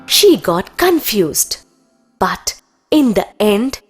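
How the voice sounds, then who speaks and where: Slow, animated woman storyteller. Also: medium pitch, husky, soft background music. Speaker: narrator. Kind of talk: scripted children's story narration.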